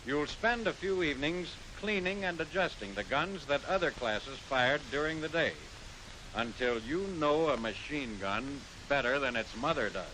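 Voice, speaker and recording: A man's voice: pushed and bright, rough recording. An American man reading scripted narration for a 1940s military training film, instructing the trainee directly. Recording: hissy 1940s film soundtrack, rumbling noise.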